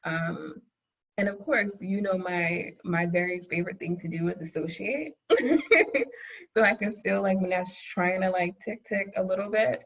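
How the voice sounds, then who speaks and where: A woman co-teaching a webinar, chatting casually over a video call, chatty and quick to laugh. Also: medium pitch, highs cut off. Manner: chatty, laughs often, says "like" a lot. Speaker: course co-teacher.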